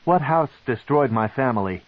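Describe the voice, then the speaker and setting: A man voicing a desperate young male dark elf wizard who stutters and pleads. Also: mid-range voice, expressive, normal speed, no echo, highs cut off, pitched above the performer's own voice, natural voice.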